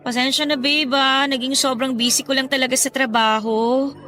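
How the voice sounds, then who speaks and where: A woman's voice: high voice, flat delivery, normal speed, sharp tone, faint music behind. A woman playing a dutiful, caring young breadwinner daughter in a Tagalog radio drama. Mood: sweet, apologetic.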